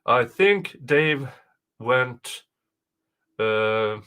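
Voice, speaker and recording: Bright-voiced man, slow casual streamer. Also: medium pitch, expressive, smooth. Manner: jokey, quick to laugh. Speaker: livestream host. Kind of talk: casual livestream chat.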